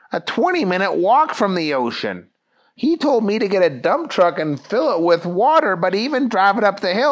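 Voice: mid-range voice, voice pushed up. A storyteller voicing an eager, flustered policeman who rambles, hesitates and exclaims. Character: flustered, earnest, eager policeman named Jerry.

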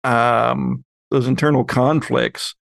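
A man's voice: mid-range voice, fairly even intonation, slow pace, creaky, a bit bright, no room sound, studio quality. A man hosting a podcast in a casual, conversational discussion style. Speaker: podcast host.

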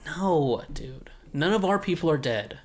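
A man's voice: a little high, unhurried. A man co-hosting a casual anime recap show, retelling scenes with energy and joking asides. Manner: animated recapping, puts on mock villain voices. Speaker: podcast co-host.

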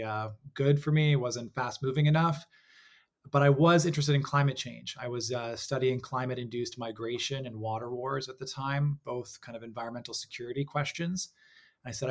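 A young adult man with an American accent, talking as an interview guest. His delivery is articulate and measured, steady and reflective, at an ordinary middle pitch. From Hong Kong. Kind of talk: conversational interview.

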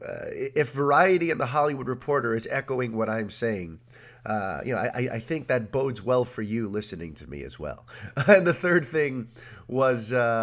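A man hosting a podcast in a casual, rambling monologue, his voice nasal and congested from a cold. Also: mid-range voice. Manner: chatty, rambling, energetic. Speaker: podcast host.

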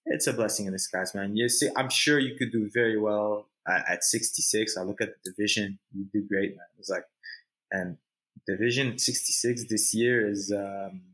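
A man's voice: on the low side, steady tone, normal speed, fairly bright tone, low-quality recording. A Canadian man chatting informally in an interview, repeating phrases for emphasis and using plenty of slang. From Montreal, Canada. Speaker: jiu-jitsu competitor, interview guest. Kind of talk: casual, unscripted interview chat.

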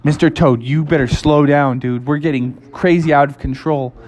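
An adult voicing a young male frog in an improvised bedtime story, talking in a casual, slangy, excitable way. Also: medium pitch, moderate pace, faint music behind, lightly acted. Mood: alarmed. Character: young male frog, eager then alarmed.

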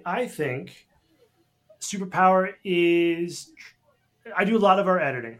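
A young man with a bright, fairly high voice. He chats as a podcast guest, speaking in measured, wordy sentences with pauses to pick his words. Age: young adult. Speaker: podcast guest and co-host of a geek podcast. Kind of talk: casual chat.

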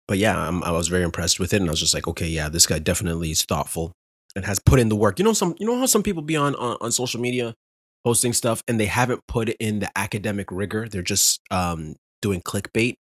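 A man hosting a podcast, joking and telling stories in a lively, laughing way. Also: on the low side, fast, breathy edge, no room sound, studio quality. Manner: animated, joking, laughing storyteller. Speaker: podcast host. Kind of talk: casual podcast chat.

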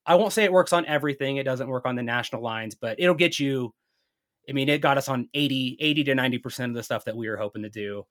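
A man's voice: mid-range voice, fast talker, fairly bright tone. A man speaking native English in a casual chat as a podcast guest, telling things in a rambling, storytelling way.

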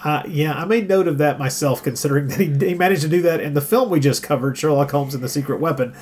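A man's voice: on the high side, fast talker, darkish tone, husky. A man hosting a podcast in a casual, chatty style. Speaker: podcast host. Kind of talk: casual chat. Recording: faint hum behind the voice.

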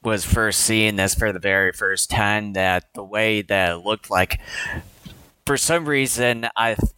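Slow, halting male podcast co-host. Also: on the low side, pushed and bright, smooth, rough recording. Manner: slow, halting, many uh fillers. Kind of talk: casual chat.

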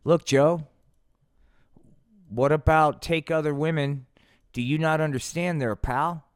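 A man's voice: on the high side, steady tone, takes it slowly, clean tone, no echo, studio quality, pitched above the performer's own voice. A man voicing a bossy, impatient Jesus puppet in a comic show, badgering in casual slang.

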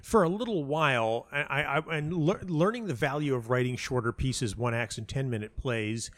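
A middle-aged man talking casually and reflectively as an interview guest, halting and restarting often, with a self-deprecating manner. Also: mid-range voice, fairly bright tone. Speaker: playwright and audio dramatist being interviewed.

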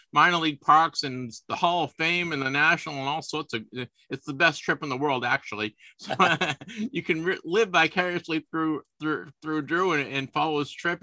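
A man in his fifties chats casually as a sports podcast host, and he often stumbles and repeats himself. His voice sits a little high and sounds bright and forceful. The recording is dry and close. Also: dry recording. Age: 50s. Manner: chatty, repeats words and restarts often.

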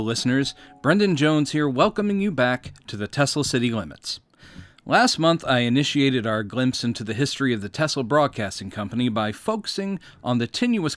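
A man's voice: mid-range voice, dry recording. An American man in his fifties from Mississippi, hosting with scripted autobiographical narration in a wry, theatrical storytelling manner. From Mississippi, United States. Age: 50s. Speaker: podcast host.

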